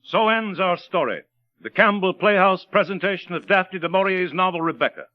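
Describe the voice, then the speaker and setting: A man's voice: sits high, moderate pace. A man announcing a 1930s radio program in a formal, scripted, presentational manner. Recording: dry, muffled vintage radio with music.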